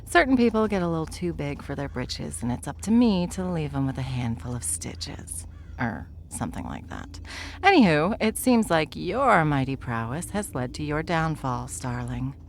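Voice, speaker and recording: Very low, gravelly, sardonic ancient stranger. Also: female voice, sing-song, slight background noise, exaggerated voice. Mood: smug, taunting. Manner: sardonic, theatrical, unhurried taunting. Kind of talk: ASMR audio roleplay acting.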